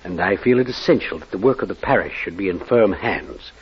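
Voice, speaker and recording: An actor playing an ailing elderly reverend, earnest and anxious, in 1940s radio drama style. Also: male voice, sits low, expressive, fairly quick. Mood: earnest, welcoming. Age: older. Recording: muffled old radio, faint noise.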